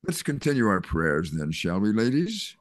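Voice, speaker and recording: An older man reading a kindly small-town male pastor in an online play reading, soothing and measured, over a video call. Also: on the low side, big pitch swings, no echo, clean studio sound. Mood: calm. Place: home room on a video call. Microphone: video call computer mic.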